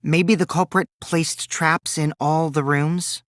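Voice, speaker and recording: A man's voice: fairly high pitch, fairly even intonation, takes it slowly, a bit bright, dry recording, studio quality. A man voicing a mischievous, taunting teenage boy who lies with glee, in theatrical anime video game style. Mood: calm, reasoning. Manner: theatrical, swinging from gleeful mockery to cold remarks.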